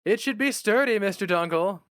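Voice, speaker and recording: A man's voice: high-pitched, lively intonation, moderate pace, a bit bright, clear, resonant tone, no room sound, clean studio sound, voice pushed up. A man voicing a mild, polite, flustered young office worker in a story reading. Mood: polite, unsure.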